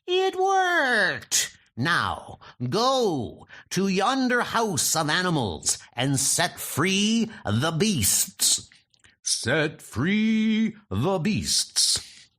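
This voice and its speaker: A man's voice: high voice, wildly swooping pitch, drawn-out words, sharp tone, pitched above the performer's own voice, exaggerated voice. A man voicing an arrogant, scheming god of mischief with theatrical, pompous delivery in a comic book dub. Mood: gleeful, then commanding. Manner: theatrical, pompous, archaic commanding speech.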